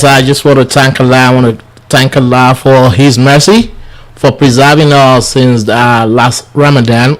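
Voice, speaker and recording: A man whose first language is Yoruba delivers a religious lecture in English, with a voice of middling pitch. He sounds a little tired and speaks earnestly. He often stumbles and starts his words over. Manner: earnest, halting with restarts. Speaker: Islamic lecturer. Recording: studio lecture for radio/TV.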